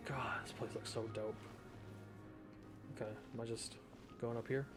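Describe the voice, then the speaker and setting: A man's voice: medium pitch, fairly even intonation, normal speed, over music. A man giving informal let's play commentary, thinking aloud as he goes. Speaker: gaming video host.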